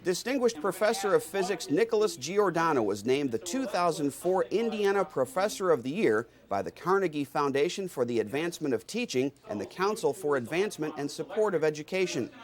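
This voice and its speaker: A man's voice: a little high, animated, fairly quick, few pauses. A man reading scripted news narration as the narrator of an institutional newsreel.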